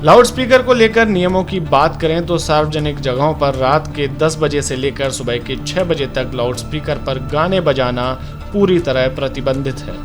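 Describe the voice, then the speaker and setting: A man reading a scripted news report as a narrator. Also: a little high.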